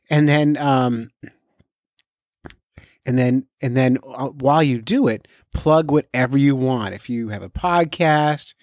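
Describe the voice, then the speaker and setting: A man's voice: medium pitch, dry recording. Man hosting a podcast, close and dry. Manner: informal, directly urging listeners. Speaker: podcast host. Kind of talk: casual unscripted podcast talk.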